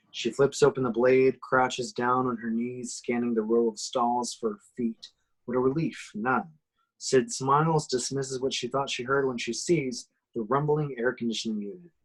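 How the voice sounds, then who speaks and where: A man's voice: medium pitch, fairly even intonation, normal speed, poor audio. Man narrating horror action, slightly muddy voice. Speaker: reader of the action description. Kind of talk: dramatic screenplay narration at a table read.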